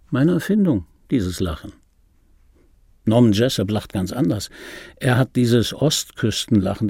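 A male actor playing a vain, aggrieved middle-aged dubbing actor in a German radio drama monologue, insistent and repetitive, with a raspy voice. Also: medium pitch, dark tone, no echo, studio quality, heavily acted. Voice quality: raspy, gravelly. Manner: insistent, repetitive, self-justifying. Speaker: narrator of a radio play. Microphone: close studio microphone, dry.

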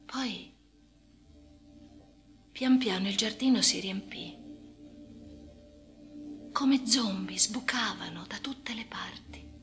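A haunted woman patient recounts institutional life in a radio play monologue. Her mid-pitched voice is intimate and often sinks toward a whisper. Music runs beneath her words. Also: slow pace, pauses often, a bit bright. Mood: hushed, uneasy. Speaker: radio drama actress. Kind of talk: dramatic first-person radio monologue.

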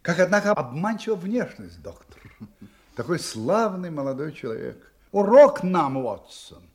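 An actor playing a shrewd, ironic gentleman detective in a radio drama, speaking in a wry, deliberate, mock-humble way. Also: a little high, big pitch swings. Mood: mock admiration. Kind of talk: theatrical radio drama acting in a detective play.

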